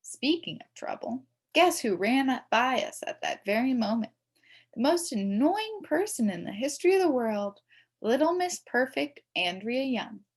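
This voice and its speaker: A woman's voice: high voice, sing-song, a little slow, slightly rough. A woman reading a children's story aloud in a theatrical, emphatic way, as a mischievous child telling it. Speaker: storybook reader. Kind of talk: expressive scripted children's story narration.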